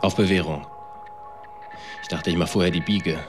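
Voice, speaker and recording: A man's voice: sits low, fairly even intonation, moderate pace, music in the background, close to an everyday voice. An actor playing a bitter, slangy failed businessman in a radio drama, talking bluntly and colloquially. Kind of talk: naturalistic radio drama testimony.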